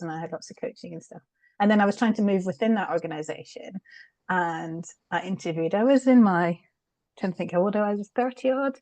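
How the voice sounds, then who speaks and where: A woman's voice: on the low side, a little mellow, no echo. Middle-aged British woman, candid interview guest, reflective, laughs easily, dry close sound. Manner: reflective, laughs often, many "um" fillers. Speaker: guest, change consultant. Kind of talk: candid conversational storytelling.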